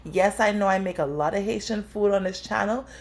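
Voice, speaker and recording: A woman's voice: mid-range voice, brisk. A woman from the Bahamas with a Bahamian accent, hosting a home cooking tutorial in her kitchen and chatting directly to her viewers. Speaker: cooking channel host.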